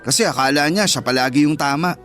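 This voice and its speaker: A protective teenage Filipino boy, played by a man in a radio drama. His voice is high for a male, earnest and fair-minded. Quiet music runs behind him. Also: fast talker, natural voice. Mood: gentle, reassuring. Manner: earnest, reassuring.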